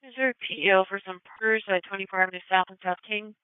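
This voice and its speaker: A woman's voice: medium pitch, steady tone, quick pace, smooth, no room sound, narrow, tinny audio, faint music behind. A woman working as a police dispatcher, speaking in clipped, procedural radio calls over a scanner feed. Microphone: two-way radio dispatch mic. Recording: thin band-limited police scanner radio.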